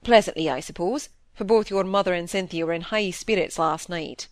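A woman voicing a gruff, exasperated middle-aged surgeon father in an audiobook, terse and dryly wry. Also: lowish voice, fairly quick, no echo, natural voice. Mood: wry, probing.

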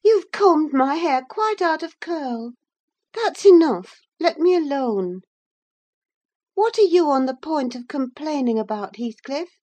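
A woman's voice: high voice, expressive, normal speed, warm tone, lo-fi sound, pitched above the performer's own voice. A woman voicing a petulant, flighty teenage girl with a peevish, exclaiming manner in a classic novel audiobook. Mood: petulant, cross. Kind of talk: audiobook character acting.

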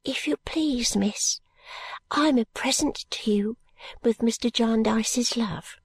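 A woman voicing a timid, tearful, grateful little girl maid in an audiobook, with soft, breathy, halting and deferential unschooled speech. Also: a little high, no room sound, voice pushed up, exaggerated voice. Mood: tearful, earnest. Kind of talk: audiobook character voice.

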